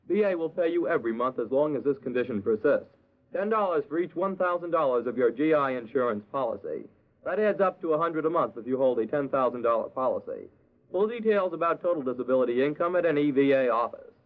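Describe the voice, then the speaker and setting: A male radio announcer reads scripted copy in 1950s style. He talks very quickly and explains smoothly, on a thin, tinny old recording. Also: medium pitch, animated.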